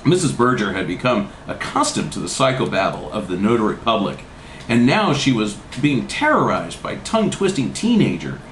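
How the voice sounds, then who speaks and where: A man reading a comic story aloud to others with dramatic, deadpan comic delivery. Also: mid-range voice, moderate pace. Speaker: reader. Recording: roomy echo, faint noise.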